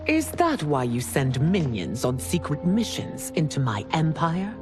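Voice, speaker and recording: An actress playing a proud, suspicious empress in a video game, with a formal, imperious delivery. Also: female voice, deep voice, very animated, unhurried, over music. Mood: suspicious, cold. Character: proud, suspicious regal empress, stern and commanding. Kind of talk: dramatic video game cutscene acting.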